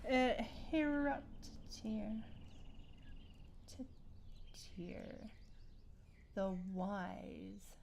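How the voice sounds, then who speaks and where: A woman's voice: medium pitch, creaky, darkish tone, slight background noise. A woman chatting casually as she streams a game, with a playful, exclaiming way of talking to viewers. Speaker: livestream host. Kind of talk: casual gameplay livestream chat.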